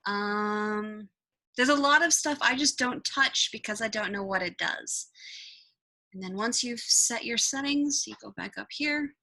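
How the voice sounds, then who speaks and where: A woman giving a casual, improvised software tutorial, thinking out loud and swearing offhandedly as she goes. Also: a little high. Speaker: tutorial presenter.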